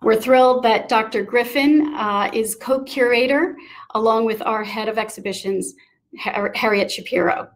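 A middle-aged woman speaks as an event host in a fairly high voice. She delivers prepared thanks and announcements from a small room over a video call. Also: normal speed. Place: small book-lined room indoors.

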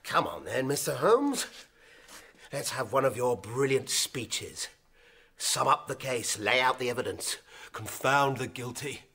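An actor playing a bitter, defiant young constable turned killer, husky and sneering, in a radio drama. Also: male voice, medium pitch, very animated, measured pace, a bit bright, full character voice. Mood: taunting. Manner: sneering, taunting. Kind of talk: British radio drama acting.

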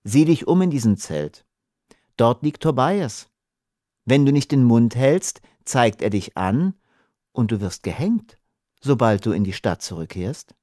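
A man's voice: medium pitch, very animated, unhurried, warm tone, dry recording, clean studio sound. Man reading as shrewd, matter-of-fact Beaver. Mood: cool warning. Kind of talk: audiobook character acting.

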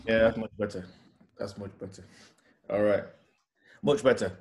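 A man in his thirties with a fairly low voice hosts a casual interview over a video call from home. His phrasing is polite and informal, with some hesitation as he sets up his questions. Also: fairly even intonation, moderate pace, a little mellow. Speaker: interviewer, host. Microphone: computer or phone on a video call.

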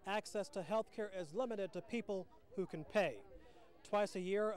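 A man narrates a televised news feature with a fairly high voice. His delivery is steady and measured, as scripted reporting tends to be. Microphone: handheld station mic.

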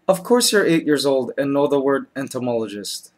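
A man's voice: medium pitch, animated, moderate pace. A man in his thirties talking in a comedy vlog, patient and laughing, recorded close on a webcam in a small bedroom. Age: 30s. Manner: patient then exasperated, laughing. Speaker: comedy vlogger.

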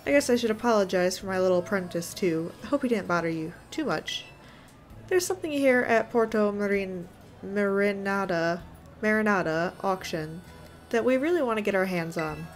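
A woman voicing an excitable, food-obsessed old man gym leader with folksy exclamations. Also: normal speed, faint music behind, natural voice. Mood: relieved, chatty. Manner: exclaiming, folksy, booming catchphrase.

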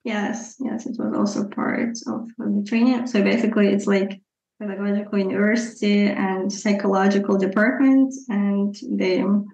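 Ukrainian woman, gravelly, hesitant. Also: mid-range voice, steady tone, normal speed, a little mellow, roomy sound, lo-fi sound. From Luhansk, eastern Ukraine. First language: Russian. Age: 30s. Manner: hesitant, many ums, careful phrasing. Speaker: guest. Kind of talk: interview answers.

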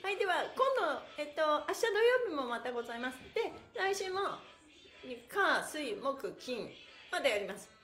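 A woman's voice: high voice, sing-song, moderate pace, fairly bright tone, roomy sound. A middle-aged woman hosting a chatty live exercise class, bubbly and playful as she addresses her viewers. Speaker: pantomime teacher and livestream host. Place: indoor room with bare walls and exercise mat.

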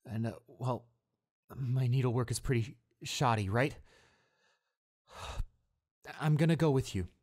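A man's voice: medium pitch, a little mellow. A man acting a tender, anxious young boyfriend. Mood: reassuring. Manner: tender, halting, repeating himself in reassurance. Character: devoted, anxious young boyfriend comforting his hurt girlfriend. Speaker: voice actor. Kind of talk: intimate boyfriend audio roleplay.

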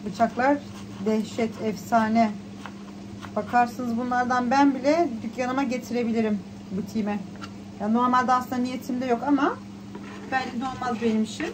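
High-voiced chatty woman vlogger. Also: steady tone, normal speed, noisy background. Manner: chatty, colloquial. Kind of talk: casual vlog narration while shopping and cooking.

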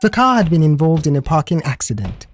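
A man reading scripted story narration in an expressive, careful storytelling manner. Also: fairly high pitch, fast, soft background music. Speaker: audiobook narrator.

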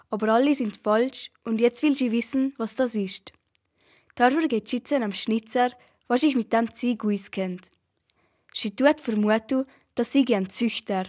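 A female storyteller narrates an amateur radio play. Her voice is fairly high, and she speaks with a Valais Swiss German accent, reading from a script. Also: darkish tone.

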